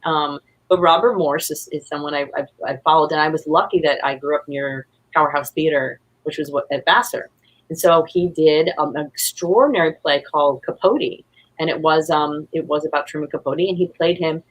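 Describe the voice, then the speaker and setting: A woman with a husky voice chatting casually as an interview guest, effusive and rambling. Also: low-pitched, fairly quick, low-quality recording. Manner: effusive, rambling, acts out quotes. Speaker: guest, playwright.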